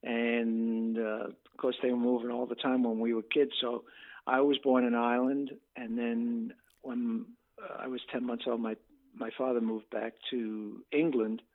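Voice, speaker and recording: A man's voice: medium pitch, unhurried. Chatty man on a tinny phone line. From Bermuda. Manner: relaxed and chatty, chuckles often, repeats words. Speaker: guest saxophone player. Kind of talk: casual interview chat.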